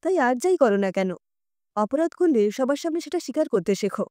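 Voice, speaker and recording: Casting: female narrator as elderly storybook grandmother, affectionate yet plain-spoken, high and mellow. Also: expressive, a little fast, dry recording, studio quality. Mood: firm, wise. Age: older. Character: kindly, firm old grandmother, wise and teasing.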